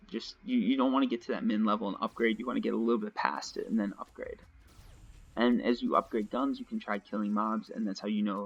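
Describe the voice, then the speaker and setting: A young man casually commentating his gameplay nonstop, his voice a little raspy from long talking. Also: fairly low pitch, a bit bright. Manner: chatty, rambling, nonstop talk with fillers. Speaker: let's play host. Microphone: home desk mic. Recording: dull lo-fi home mic.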